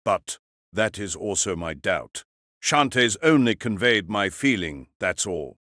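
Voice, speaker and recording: An actor playing a stocky, composed young team captain in an audio drama, stern, measured and blunt but polite. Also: male voice, lowish voice, lively intonation, pushed and bright, no echo, clean studio sound. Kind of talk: anime-style audio drama acting.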